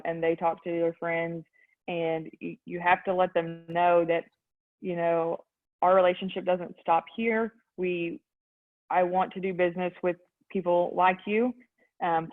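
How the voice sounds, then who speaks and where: A young adult woman with a Texan accent, a real estate agent answering interview questions in a youthful voice, earnest and full of ums. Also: lowish voice. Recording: tinny phone-like line.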